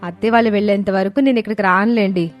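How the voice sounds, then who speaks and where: Woman playing confident young wife, mid-pitched. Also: steady tone, very fast, soft background music, lightly acted. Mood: teasing, firm. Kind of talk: dramatised storybook dialogue.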